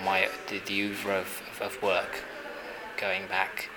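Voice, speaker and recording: A man from Hungary speaks in a low, flat voice and talks about his work, pausing often and restarting his sentences. Steady noise from the gallery space stays under him throughout. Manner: thoughtful, halting, with many fillers and restarts. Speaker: artist. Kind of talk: exhibition interview. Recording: noisy ambience at an exhibition.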